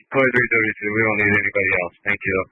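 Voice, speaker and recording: A man's voice: fairly low pitch, fairly even intonation, rapid-fire, pushed and bright, dry recording. A man working as an emergency responder, giving reports from a scene over a narrow radio channel. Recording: dry, narrow phone-like radio transmission.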